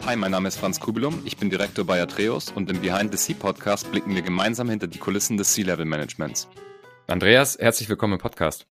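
A man hosting a podcast interview, chatty and upbeat, with fillers and quick asides. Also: low voice, a bit bright, soft background music. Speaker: podcast host.

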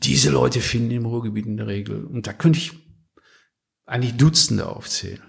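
A man's voice: mid-range voice, moderate pace, gravelly. A man from Westphalia speaking as an interview guest in a casual, blunt, colloquial way. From Westphalia, Germany. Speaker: podcast guest, book publisher.

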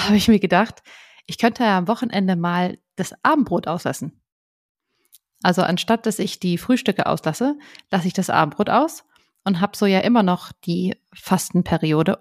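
A woman's voice: medium pitch, darkish tone, no echo, clean studio sound. A woman co-hosting a casual podcast, chatting in a rambling way with fillers and frequent laughs. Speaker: podcast co-host.

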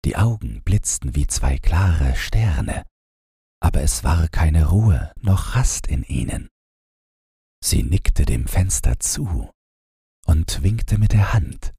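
A man narrating a bedtime fairy tale as an audiobook reader, in a soothing, measured manner. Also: rumbling low, sing-song, creaky, mellow, slightly rough, no room sound, clean studio sound.

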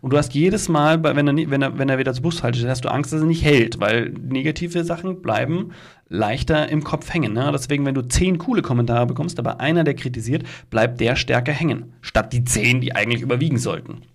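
A man's voice: medium pitch, quick pace. A man chatting casually as a podcast co-host.

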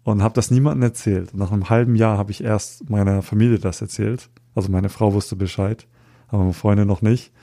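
Gravelly-voiced man chatting hesitantly. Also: on the low side, steady tone, brisk, dark tone. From Hamburg, Germany. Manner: hesitant, many fillers, self-deprecating. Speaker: podcast guest, street photographer. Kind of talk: casual interview chat.

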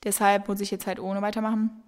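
A woman's voice: mid-range voice, level tone, fast. Chatty young woman in a reverberant room. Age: 20s. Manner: chatty and rambling, with many fillers. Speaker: podcast host. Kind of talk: casual solo podcast Q&A. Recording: long reverb.

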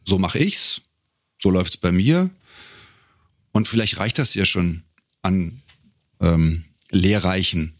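Deep gravelly middle-aged man, thinking aloud. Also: no echo, clean studio sound. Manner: hesitant, thinking aloud, broken-off phrases. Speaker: podcast guest, professional voice actor. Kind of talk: casual interview talk.

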